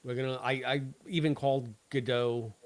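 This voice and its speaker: A man with an average-pitched voice talks as a podcast co-host. He speaks in a casual, conversational style. His takes come out blunt and opinionated. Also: fairly even intonation, moderate pace, no echo. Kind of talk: casual chat, episode discussion.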